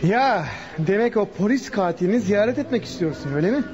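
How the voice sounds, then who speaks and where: Stern male police guard, high voice, suspicious, challenging, radio drama, music underneath. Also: lively intonation, quick pace. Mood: hostile, suspicious. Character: gruff, hostile, suspicious policeman guarding a patient. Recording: dull lo-fi radio recording.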